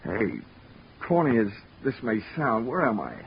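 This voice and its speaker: A man's voice: medium pitch, wildly swooping pitch, a little slow, slight background noise. An American actor playing a cool, cocky, wisecracking male private investigator in 1940s radio drama, with a wry, punchy delivery. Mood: groggy, wry. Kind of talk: 1940s radio detective narration and acting. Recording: muffled vintage radio recording with music.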